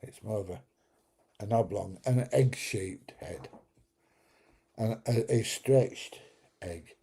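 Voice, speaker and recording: Slow, gravelly man rambling. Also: fairly low pitch, dark tone. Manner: rambling, jokey, chuckles at his own stories. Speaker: livestream host. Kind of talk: casual live chat reading viewer comments.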